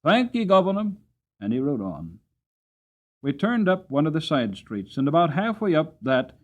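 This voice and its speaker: A man reading a story aloud as a dramatic, expressive audiobook narrator. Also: medium pitch, muffled recording. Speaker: audiobook reader.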